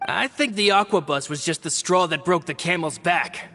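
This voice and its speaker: An actor voicing a calm, measured male gang lieutenant in a game. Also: a little high. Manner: measured, composed. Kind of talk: game voice acting. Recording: long reverb, faint music.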